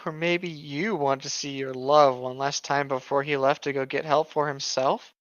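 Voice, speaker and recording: Smooth-voiced, exasperated sarcastic story reader. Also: mid-range voice, fairly even intonation, moderate pace, lo-fi sound. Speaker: co-reader riffing on a horror story. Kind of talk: mocking dramatic reading with ad-lib commentary.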